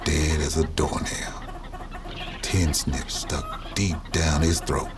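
A man's voice: very deep voice, steady tone, takes it slowly, over loud music. An actor playing a folksy Southern man telling grim memories in a matter-of-fact way for an audio drama. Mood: grimly folksy. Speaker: narrator telling his story to an interviewer. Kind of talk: audio drama first-person storytelling.

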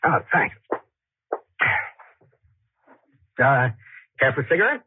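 A man's voice: medium pitch, sing-song, a little fast, husky, no echo. An actor playing an evasive, hesitant young man confessing a troubled love affair in 1940s radio style. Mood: coaxing, apologetic. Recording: muffled 1940s radio recording.

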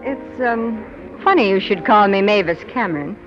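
Female actor as worldly nightclub showgirl in 1940s radio noir, mid-pitched, coy, hesitant, vintage dull sound. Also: lively intonation, moderate pace, natural voice. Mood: coy, guarded. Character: guarded, worldly young nightclub showgirl on the run. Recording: band-limited 1940s radio with music.

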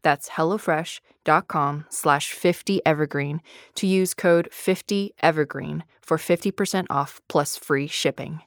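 A woman's voice: sits low, a little slow, dry recording, studio quality. A woman reading a scripted sponsor message in a conversational style, as in a podcast ad break. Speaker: podcast ad reader.

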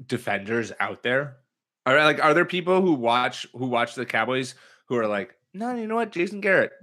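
A man's voice: mid-range voice, pushed and bright. A man hosting a casual sports podcast, joking around and slipping in and out of put-on accents. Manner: jokey, puts on shifting accents. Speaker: podcast host.

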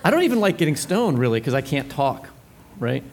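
A man's voice: on the high side, very animated, a little fast. Casual American man with faint background noise. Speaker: podcast co-host. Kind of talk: casual chat. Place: live stage at a book fair.

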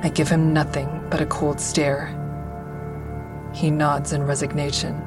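A bereaved, bitter woman telling her story in an audio drama. Her voice sits low, guarded and intense, with a coldness to it. Music plays clearly underneath. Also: flat delivery, unhurried. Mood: cold, resentful. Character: embittered woman narrating her grief and rage, a bereaved mother. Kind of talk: first-person audio drama narration.

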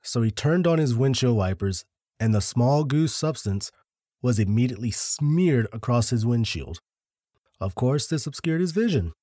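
A man co-hosting a podcast, telling a story in a laid-back, deliberate way with dry jokes, a little short of breath. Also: medium pitch, big pitch swings, dark tone, no echo. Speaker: podcast co-host.

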